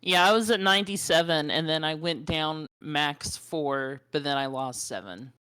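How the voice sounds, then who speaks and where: A woman player in a tabletop game, chatty and working through numbers out loud. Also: sits low, normal speed, clear, resonant tone. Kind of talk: casual game table chat.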